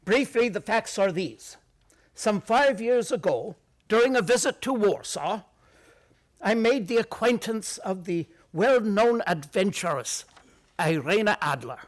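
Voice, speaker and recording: A man's voice: sits high, expressive, measured pace. An actor in a radio play playing a proud, secretive Bohemian king, speaking in a stately, formal manner. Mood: earnest.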